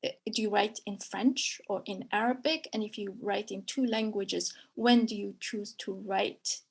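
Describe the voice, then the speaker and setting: A woman's voice: mid-range voice, fairly even intonation, measured pace, gravelly, slightly rough, poor audio. A middle-aged woman professor moderating a webinar over a video call, rephrasing her questions and checking in with "right?".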